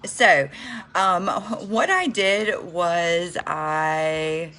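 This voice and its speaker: A woman's voice: on the low side, very slow, bright, forceful tone. A woman chatting on a makeup livestream, stuffy from a cold, with lots of ums as she talks to viewers. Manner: chatty, many ums, addresses viewers as y'all. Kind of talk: casual get-ready-with-me livestream.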